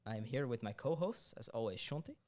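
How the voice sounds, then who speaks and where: Young man hosting, lively hesitant voice. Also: medium pitch, fairly quick. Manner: hesitant, many fillers and restarts, upbeat. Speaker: podcast host. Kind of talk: casual podcast interview.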